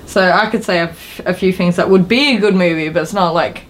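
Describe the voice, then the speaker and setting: A woman chatting informally as a podcast co-host, excitable and emphatic in the way she talks. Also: on the low side, quick pace. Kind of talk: casual chat about games and films.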